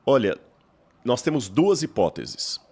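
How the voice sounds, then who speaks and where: A man from Minas Gerais with a mineiro accent, explaining as a guest in a measured, lecturing way and posing rhetorical questions. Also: mid-range voice, big pitch swings, a little mellow, husky. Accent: Mineiro Brazilian Portuguese. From Minas Gerais, Brazil. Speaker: guest scholar. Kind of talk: explanatory podcast interview.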